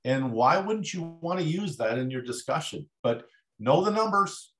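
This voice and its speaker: A man hosting a casual real estate livestream, coaching agents in an encouraging way and often chiming in with quick agreement. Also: a little high, animated, fairly bright tone, reverberant. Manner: encouraging, lots of affirming "yep"s. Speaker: livestream host, real estate broker support.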